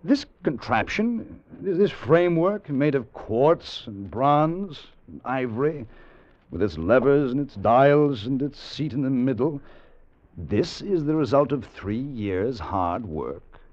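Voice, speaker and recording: An actor playing a skeptical gentleman drawn into adventure, with a theatrical manner, in a 1950s radio drama. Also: mid-range voice, sing-song. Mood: incredulous. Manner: halting, incredulous, theatrical. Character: skeptical, hesitant gentleman friend turned awed adventurer. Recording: muffled, noisy old radio broadcast.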